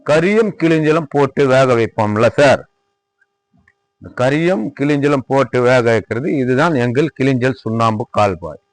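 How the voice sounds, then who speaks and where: A man with a Tamil Nadu accent gives an informal product tour and sales pitch, repeating himself as he demonstrates and politely addresses the viewer. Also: lively intonation, moderate pace, low-quality recording. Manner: repetitive, demonstrative, addressing viewer respectfully as sir. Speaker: lime manufacturer presenting own workshop. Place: at a lime kiln worksite.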